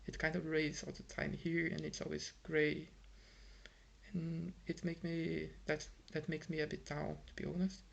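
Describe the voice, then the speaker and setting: Gravelly Brazilian man venting on camera. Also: on the high side, completely flat delivery, normal speed. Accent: Brazilian Portuguese. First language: Portuguese. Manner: frustrated, rambling with many fillers and restarts. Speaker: vlogger. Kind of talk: casual vlog monologue. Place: home in Ireland.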